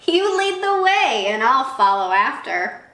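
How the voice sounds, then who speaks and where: Lady doing a smug little boy mouse from a storybook, singsong, bright and squeaky high, roomy echo. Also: sing-song, a little slow, full character voice. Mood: boastful, chirpy. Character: clever bold little mouse, male, smug and fearless.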